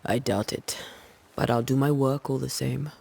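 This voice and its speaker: An actress playing a composed, resolute woman ghost hunter in a video game, speaking in a measured, grave and reassuring way. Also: extremely low pitch, fairly even intonation, creaky, darkish tone, a little hiss or hum. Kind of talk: video game cutscene acting. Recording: music under the dialogue.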